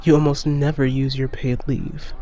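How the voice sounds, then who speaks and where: A man's voice: medium pitch, level tone, moderate pace, warm tone, natural voice. A man playing a frank, caring, plain-spoken starship crewmate in a sci-fi audio drama, on a muffled recording. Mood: earnest, supportive. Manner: direct, plain-spoken, persuasive.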